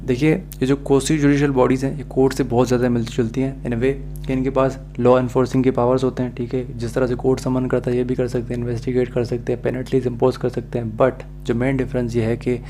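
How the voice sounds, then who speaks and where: A man's voice: mid-range voice, little pitch movement, fairly quick, hardly any pauses, mellow. A man gives an explanatory law lecture, speaking didactically and mixing in English phrases. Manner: didactic, checks in with listeners often. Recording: faint background hum.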